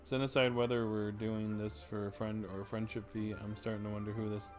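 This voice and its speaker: Male streamer, fairly low voice, casual game commentary and line reading, music behind. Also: flat delivery, fast talker. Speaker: livestreamer.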